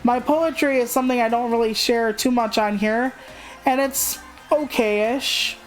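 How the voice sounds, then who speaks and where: A woman's voice: a little high, steady tone, measured pace, poor audio. Candid woman streamer chatting over music. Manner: candid, rambling, self-deprecating, swears freely. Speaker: video game livestreamer. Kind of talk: casual livestream chat.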